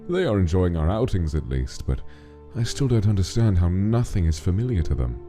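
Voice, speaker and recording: An actor voicing a big, gentle, fatherly monster king who muses solemnly and tenderly in a comic dub. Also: very animated. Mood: pensive. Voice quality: deep, mellow. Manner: measured, musing, tender. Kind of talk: scripted narration in a comic dub. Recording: music behind the voice.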